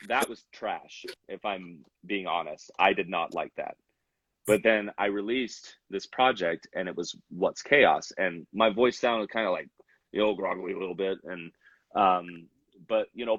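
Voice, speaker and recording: A man's voice: sits low, measured pace, fairly bright tone, slightly rough, no room sound, highs cut off. A young man in his twenties, a rapper from Salt Lake City, chatting casually as an interview guest with lots of fillers and restarts. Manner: rambling, many fillers and restarts.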